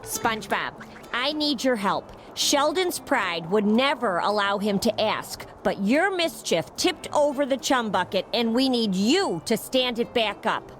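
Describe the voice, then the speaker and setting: A practical cartoon computer wife. She speaks dryly and precisely, with lively inflection over soft music. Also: fairly high pitch, unhurried. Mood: matter-of-fact. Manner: dry, precise. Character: prim dry female computer wife, practical. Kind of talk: cartoon game acting.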